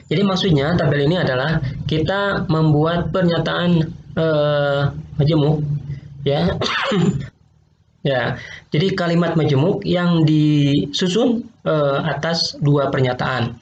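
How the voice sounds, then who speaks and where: Male teacher, patient, slightly high voice, echoey room. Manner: patient, repeats points, asks quiz questions. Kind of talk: online logic lesson. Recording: roomy, dull lo-fi online class audio with faint noise.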